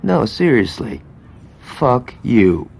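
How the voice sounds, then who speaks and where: An actor playing a brash, sarcastic, violent gang lieutenant in a video game cutscene, foul-mouthed and cocky. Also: male voice, mid-range voice, very animated, takes it slowly, creaky, darkish tone, husky, full character voice. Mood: sarcastic, defiant.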